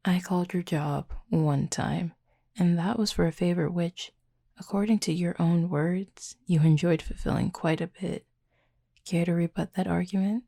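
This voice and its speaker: Woman voicing a husky, flirty werewolf, deeply gravelly. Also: steady tone, warm tone. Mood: flirty teasing. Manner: dry, teasing, unhurried, wordy. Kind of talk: intimate audio roleplay, late-night chat.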